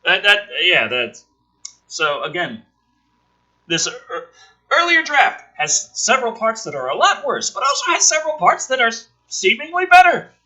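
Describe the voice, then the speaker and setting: A man reading a film script aloud and adding casual, sardonic, profane commentary in an animated way. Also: high-pitched, normal speed, poor audio.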